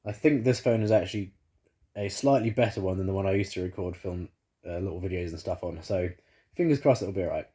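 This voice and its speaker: A man's voice: low voice, quick pace, warm tone. A man vlogging casually from his living room, rambling with fillers, still congested after the flu, recorded on a phone. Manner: rambling, many fillers and restarts. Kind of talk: casual chat to camera. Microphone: phone camera.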